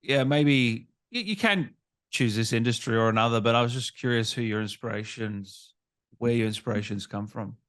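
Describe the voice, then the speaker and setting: A man's voice: medium pitch, moderate pace, a bit bright. Man hosting a casual interview. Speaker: interviewer, gaming show host.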